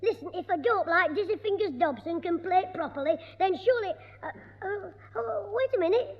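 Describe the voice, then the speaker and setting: A man's voice: extremely high pitch, brisk. An actor playing a cheeky, mischievous schoolboy in a 1960s radio sitcom, wisecracking his way through. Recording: dull echoey 1960s radio recording.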